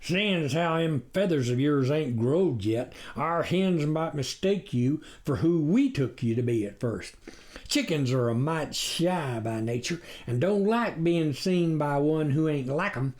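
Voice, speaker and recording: A man's voice: fairly high pitch, animated, a little slow, a little mellow. A man voicing a gullible, fretful country farmer in a storybook, with rustic, rambling talk. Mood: wary. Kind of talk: storybook character reading.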